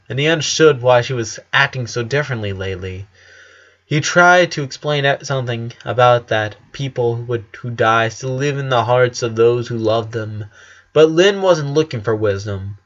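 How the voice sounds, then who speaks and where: A man's voice: medium pitch, fairly bright tone, dull audio. A man narrating a story in an earnest, dramatic audiobook reading. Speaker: narrator of a fan fiction story.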